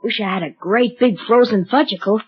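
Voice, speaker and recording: A woman with an American accent pitching to children in a 1930s radio advertisement. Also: fairly quick, slightly rough. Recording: muffled 1930s radio recording.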